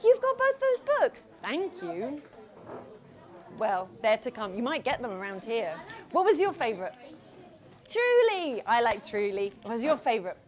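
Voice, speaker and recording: Woman, storyteller for children, high pitch, very lively intonation, playful, expressive. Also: measured pace, a faint crowd. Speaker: children's author reading aloud. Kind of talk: animated storytelling to a live audience of children.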